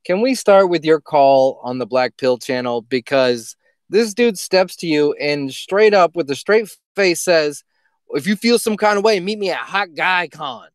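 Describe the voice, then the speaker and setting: A man's voice: a little high, lively intonation, unhurried, no room sound. A man co-hosting a podcast in casual comedic banter, speaking in a mocking, incredulous and profane manner. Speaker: podcast co-host.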